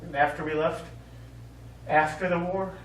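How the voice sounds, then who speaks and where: An actor on stage playing a middle-aged American war veteran, remorseful and lovelorn, speaking in a halting, earnest, pleading way. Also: male voice, on the high side, fairly even intonation, a bit bright. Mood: ashamed. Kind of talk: stage play acting. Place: small theatre stage. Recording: echoey theatre stage, distant mic, faint noise.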